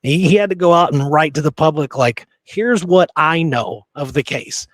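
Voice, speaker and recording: A man's voice: on the high side, normal speed, no echo. A man hosting a podcast, talking casually about history in an emphatic, opinionated, rambling storytelling way. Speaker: podcast host.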